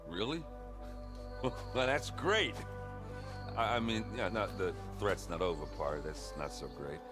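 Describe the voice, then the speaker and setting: A man acting a gruff, wisecracking battered warrior in a video game cutscene, loyal but sardonic and halting. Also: deep voice, over music. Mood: hopeful, awkward.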